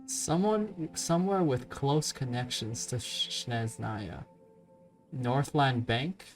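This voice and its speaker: Informal let's play host, mid-pitched, thinking out loud through game choices, soft music underneath. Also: takes it slowly. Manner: informal, reacting aloud. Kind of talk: casual game commentary, reading choices aloud. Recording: faint game music behind.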